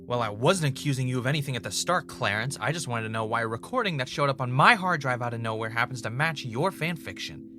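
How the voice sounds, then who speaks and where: A man's voice: medium pitch, animated, quick pace, hardly any pauses, sharp tone, slight background noise. A man playing a former reality show contestant turned podcast host, haunted and defensive, in naturalistic audio drama dialogue. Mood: accusing, exasperated.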